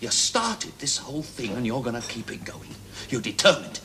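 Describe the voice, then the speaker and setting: A man's voice: medium pitch, big pitch swings, normal speed, noisy background, close to an everyday voice. A man with a British accent playing a domineering, volatile and condescending research boss in a 1970s television drama. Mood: scornful.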